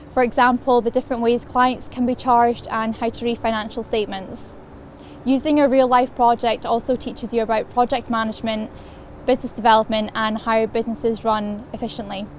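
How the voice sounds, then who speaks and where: A young woman with a fairly high voice gives a prepared student testimonial. She is recorded with faint noise in the background. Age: young adult. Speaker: law student.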